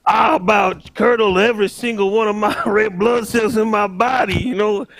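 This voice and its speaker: A man's voice: sits high, steady tone, moderate pace, fairly bright tone, dry recording. A man playing a folksy, rambling old cowboy with a Southern US accent and a theatrical manner. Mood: rambling, fervent.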